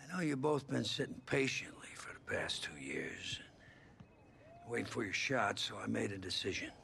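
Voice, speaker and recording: A man's voice: fairly low pitch, big pitch swings, normal speed, creaky, pushed and bright, slight background noise, heavily acted. A man playing a stern, blunt, authoritative college football head coach in a video game cutscene.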